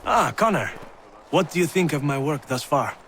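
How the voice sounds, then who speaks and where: An actor playing a proud, genial young French officer in a video game, with a French accent and an effusive storytelling manner. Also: male voice, on the high side, animated, normal speed, a bit bright. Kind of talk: dramatic video game cutscene acting. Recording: clear background ambience noise.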